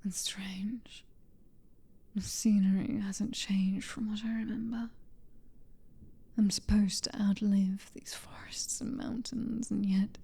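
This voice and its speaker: A woman's voice: medium pitch, warm tone, heavily acted. A woman whispering as a possessive British vampire mistress. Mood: wistful. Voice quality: breathy whisper. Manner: slow, intimate, teasing and controlling. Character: possessive centuries-old female vampire noblewoman. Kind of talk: whispered ASMR roleplay. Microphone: close microphone.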